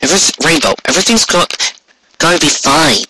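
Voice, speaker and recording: A man voicing an anxious, friendly young female unicorn pony in a storybook reading, soft-hearted and hesitant, over a noisy recording. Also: a little high, expressive, fairly quick, pitched above the performer's own voice, natural voice. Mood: worried, reassuring. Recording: clearly noisy amateur recording with clicks.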